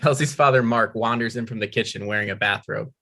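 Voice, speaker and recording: A man's voice: fairly low pitch, fast, pushed and bright. A man narrating stage directions, reading a screenplay aloud at a table read.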